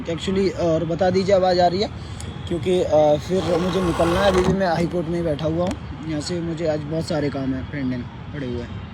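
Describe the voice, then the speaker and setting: Hoarse male live host, high pitched, halting, faint street noise behind. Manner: clears throat often, halting with fillers. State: hoarse, sore throat. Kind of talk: casual live update. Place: sitting outdoors near a court.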